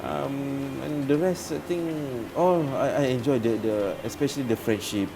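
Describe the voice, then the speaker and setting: A middle-aged man from Malaysia, talking as a guest on an interview show. He stumbles, repeats himself and fills pauses as he talks, his voice at an ordinary pitch. A steady noise hisses under the recording. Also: vocal fry. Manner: halting, many repeated words and fillers. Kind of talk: casual interview talk.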